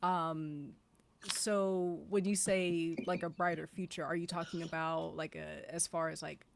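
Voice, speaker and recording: A woman in a casual panel discussion, measured, thinking aloud and qualifying her points. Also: fairly low pitch, little pitch movement, gravelly, a little hiss or hum.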